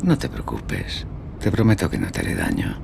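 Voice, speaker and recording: An actor with a Castilian accent playing a calm, manipulative young killer in a radio drama, coaxing and falsely reassuring. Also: male voice, low-pitched, normal speed, vocal fry, background noise, heavily acted. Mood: quiet menace. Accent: Castilian Spanish. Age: young adult. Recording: music bed under the voice.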